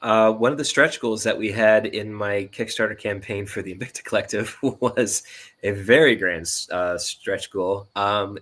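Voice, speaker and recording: A man with a fairly low voice chats as a guest on an interview show. He is casual and a little tentative, thinking aloud and often qualifying what he says. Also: normal speed, fairly bright tone. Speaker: guest, indie audio drama writer and sound designer.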